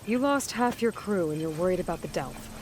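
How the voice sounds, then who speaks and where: An actress playing a terse, capable young huntress in a video game, speaking in a level, matter-of-fact way. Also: female voice, on the low side, expressive, brisk, a little mellow, a little hiss or hum, natural voice. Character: terse capable female hunter, young adult. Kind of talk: video game cutscene acting.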